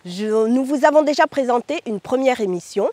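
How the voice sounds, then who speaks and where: A Martinican woman hosts the program, announcing each segment in a friendly way. Her voice is fairly high and very lively in pitch, recorded dry and close. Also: moderate pace, no room sound. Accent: Martinican French. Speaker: host. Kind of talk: show introduction.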